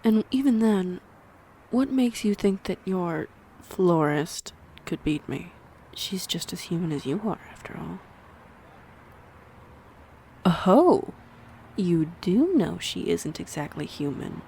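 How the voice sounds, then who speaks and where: A woman playing a snide, predatory vampire in an audio roleplay, mocking and teasing, with a breathy voice that slips into whispers. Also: on the low side, animated, slow, warm tone, a little hiss or hum, exaggerated voice, low-quality recording. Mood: scornful, mocking. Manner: mocking, teasing, theatrically menacing. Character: snide, predatory female vampire toying with prey. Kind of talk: audio roleplay acting addressed to the listener.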